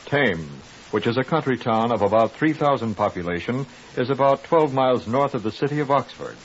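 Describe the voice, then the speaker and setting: A formal narrator voiced by a man with a mid-pitched, very lively intonation. He delivers precise 1950s radio exposition on a dull old recording. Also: fairly quick, a little hiss or hum, close to an everyday voice. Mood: informative. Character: informative documentary-style radio narrator.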